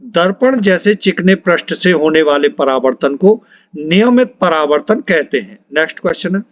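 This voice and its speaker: High-voiced man, coaching teacher, reading quiz questions, repeats for emphasis, dry slightly dull recording. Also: expressive, normal speed, no echo. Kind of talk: reading out multiple-choice quiz questions and answers to students.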